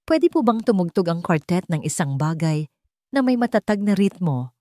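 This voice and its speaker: Woman reader as young female server, Filipino accent, gentle, respectful, soft-spoken, audiobook drama. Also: lowish voice, big pitch swings, normal speed, no echo, studio quality. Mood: calm, polite.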